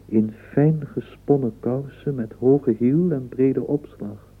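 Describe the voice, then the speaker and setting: A man narrating a literary radio play in a deliberate, measured manner. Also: medium pitch, slight background noise, close to an everyday voice. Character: measured male narrator lingering on details. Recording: narrow phone-like old radio recording.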